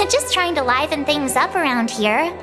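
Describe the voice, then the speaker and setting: A woman's voice: high voice, animated, moderate pace. A woman voicing a light-hearted, curious young female companion in a scripted video game, with music underneath. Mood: light, playful.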